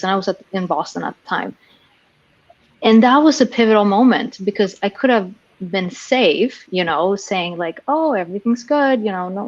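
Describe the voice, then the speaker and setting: A woman's voice: fairly low pitch, dry recording, dull audio. A woman from Sweden, a sales professional speaking frankly on a panel, often restarting her sentences. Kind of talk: casual panel discussion.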